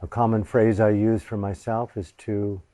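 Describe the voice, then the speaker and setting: Male meditation teacher, low and warm, very slow and reverent, long pauses. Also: level tone, dry recording. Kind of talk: guided meditation.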